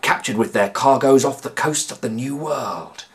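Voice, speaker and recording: A male narrator voicing a cheerful, eccentric professor with a brisk, chirpy manner. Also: mid-range voice, pitched above the performer's own voice, lightly acted. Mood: thoughtful.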